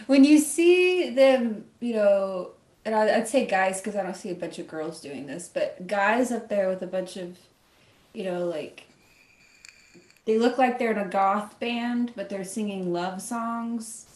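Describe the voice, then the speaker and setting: A woman's voice: medium pitch, slow pace. Giggly woman in an echoey room. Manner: lively, giggly, animated. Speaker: podcast co-host. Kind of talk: casual chat.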